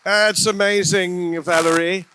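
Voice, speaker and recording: A man moderating a conference session, speaking in a halting way with repeated words. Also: high voice, fairly even intonation, bright, forceful tone, slight background noise, low-quality recording.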